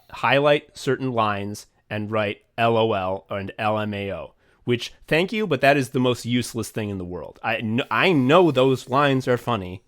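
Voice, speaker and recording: A man in casual podcast chat, rambling and restarting his sentences as he goes. He swears freely and leans on filler words. His voice sits at an ordinary middle pitch for a man. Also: fairly bright tone. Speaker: podcast co-host and writer.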